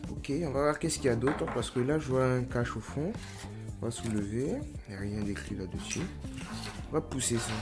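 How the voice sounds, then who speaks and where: A young man talks through an unboxing at a relaxed, middle pitch, musing aloud as he goes. His delivery is slangy and self-mocking. Clear background music runs under his voice the whole time. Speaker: YouTuber. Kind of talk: casual unboxing vlog.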